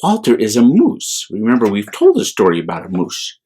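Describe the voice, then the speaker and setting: An American man, a priest hosting a children's storytime, reads aloud in a gentle, expressive, unhurried way. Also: lowish voice.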